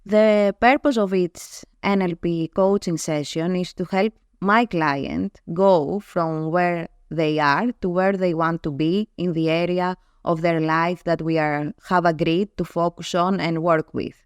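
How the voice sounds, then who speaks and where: A woman speaks English with a Greek accent, her native tongue being Greek. Her voice sits a little low and sounds clear and resonant. She explains things in a measured, steady way, recorded close and dry. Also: no echo. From Greece. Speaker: guest, NLP trainer. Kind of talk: reading prepared answers in an interview.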